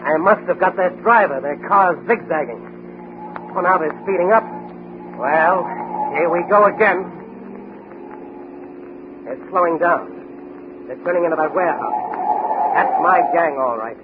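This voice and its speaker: An actor playing a bold, swaggering young crime-fighting hero in theatrical 1940s radio style, on a scratchy, tinny old recording. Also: male voice, a little high, measured pace, pauses often, natural voice. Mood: determined, defiant. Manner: theatrical, brash, declamatory.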